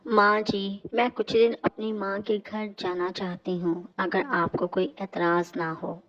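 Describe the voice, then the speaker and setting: A woman playing a gentle, dutiful young daughter-in-law in a scripted cartoon story. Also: medium pitch, level tone, measured pace, dry recording, muffled recording, natural voice. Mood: soothing, polite.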